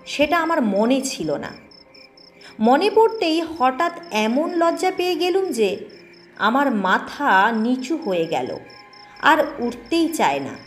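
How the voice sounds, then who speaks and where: A woman reading a scripted first-person ghost story in a measured, expressive storytelling manner. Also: high voice. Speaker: audio story narrator.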